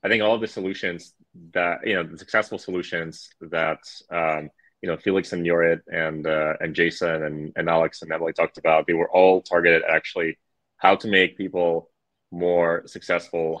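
A man with a very low, deep voice moderates a panel over a video call. He speaks haltingly, with many filler sounds and self-restarts. The sound is dry and close, with no room in it. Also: level tone, a bit bright, no room sound, poor audio.

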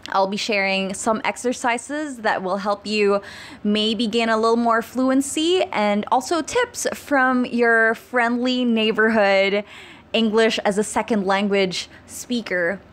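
A woman's voice: a little high, slow. An upbeat, chatty woman in her twenties whose first language is Tagalog talks straight to camera. She speaks close into a handheld mic in a small room and laughs lightly between phrases. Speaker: YouTuber giving English-speaking tips. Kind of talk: casual vlog talk to camera. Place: small indoor room, plain wall. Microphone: handheld mic held close.

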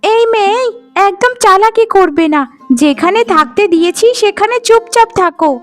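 A woman's voice: extremely high pitch, moderate pace, soft background music. A woman voicing a haughty, scheming sister-in-law from a landlord family in a cartoon, with a bossy manner. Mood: bossy, scolding. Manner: bossy, scolding. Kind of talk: cartoon storytelling dialogue.

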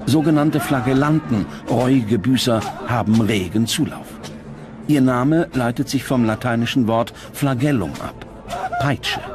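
A man reading scripted documentary narration in standard German, with a measured, grave delivery. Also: medium pitch, a crowd in the background. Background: background music.

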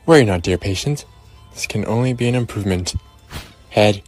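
A man's voice: fairly low pitch, brisk, a little mellow, lightly acted. A man as a mock-solemn cartoon surgeon, music behind. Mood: solemn, urgent. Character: pompous cartoon creature surgeon, gravely reassuring. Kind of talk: cartoon fandub acting.

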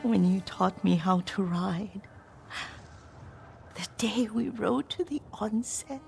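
An older woman acting a frail, confused, tender old servant in a game cutscene, with a breathy, halting, wistful delivery. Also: mid-range voice. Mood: fading, tender. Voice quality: breathy, whispery. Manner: halting, wistful, softly trailing off. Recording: music behind the voice.

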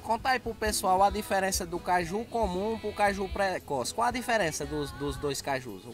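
Higher-pitched male interviewer. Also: normal speed. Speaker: interviewer, channel host. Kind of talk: casual interview. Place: outdoors on a farm. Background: music.

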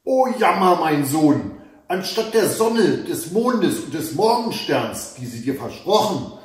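Scheming elderly queen mother, read by a man, storybook tale, animated and admonishing, very reverberant. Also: on the high side, takes it slowly. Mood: false lament. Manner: dramatic, exclamatory, admonishing. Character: scheming old queen mother, lamenting and warning. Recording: very echoey room.

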